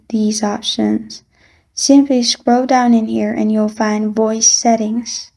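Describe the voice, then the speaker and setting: Slow, even-pitched woman giving tutorial instructions. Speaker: tutorial host. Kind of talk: step-by-step software tutorial voice-over.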